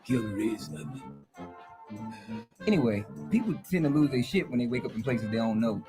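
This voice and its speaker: A man's voice: medium pitch, sing-song, fairly quick, close to an everyday voice. Confused freaked out dead guy man acting, voice jumps around a lot, music behind, movie scene. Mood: exasperated. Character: bewildered, agitated newly dead person waking in the afterlife. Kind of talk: short film drama acting.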